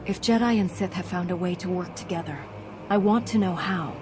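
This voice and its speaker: An actress playing a confident, skeptical Jedi heroine in a video game, direct and questioning. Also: female voice, on the low side, a little fast, noisy background, lightly acted. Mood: skeptical, resolute. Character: confident, skeptical young female Jedi hero. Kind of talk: dramatic video game voice acting.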